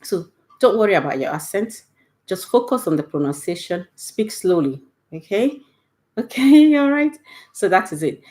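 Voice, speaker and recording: A woman's voice: medium pitch, big pitch swings, moderate pace, darkish tone. A middle-aged woman with a Nigerian accent, giving a casual, patient online language lesson and checking in with "okay?" after each point. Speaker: Igbo language teacher.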